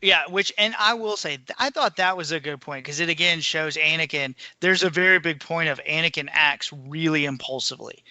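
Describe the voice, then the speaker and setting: A man's voice: on the high side, brisk, hardly any pauses, sharp tone, dry recording. A man co-hosting a podcast, discussing books in a colloquial, opinionated way. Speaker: podcast co-host.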